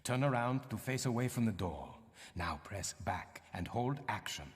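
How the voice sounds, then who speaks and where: An actor playing a stern, condescending older archaeologist mentor in a video game, speaking formally and instructively. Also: male voice, lowish voice, expressive, normal speed, natural voice. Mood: dry, instructive. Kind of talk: video game cutscene and tutorial acting. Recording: long cavernous reverb.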